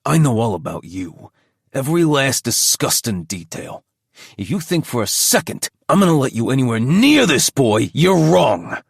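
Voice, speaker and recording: A man's voice: fairly high pitch, big pitch swings, takes it slowly, a bit bright, husky, no room sound, clean studio sound, pitched above the performer's own voice, heavily acted. A man voicing a burly, protective male cop who snarls threats in a raised, strained voice. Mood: furious.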